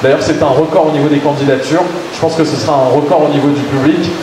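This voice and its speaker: A man's voice: on the high side, steady tone, fast talker. A man presenting pageant candidates to the press, upbeat and hesitant with many fillers. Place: large echoey hall. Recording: very echoey hall with loud noise.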